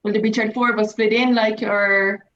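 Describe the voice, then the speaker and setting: A woman participant speaking casually, halting and rephrasing herself. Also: medium pitch, little pitch movement, over a noisy crowd. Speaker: workshop participant asking questions. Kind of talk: casual questioning. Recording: dull, lo-fi sound.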